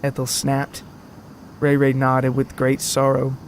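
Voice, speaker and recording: A man narrating a scripted comic story in a wordy, deadpan manner. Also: medium pitch, slow, slight background noise.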